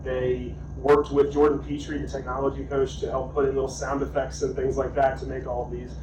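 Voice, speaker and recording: A man's voice: mid-range voice, completely flat delivery, fairly quick. Man speaking casually in an echoey meeting room. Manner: casual, self-deprecating, repeats words. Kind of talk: meeting report. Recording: echoey room, far mic, noisy.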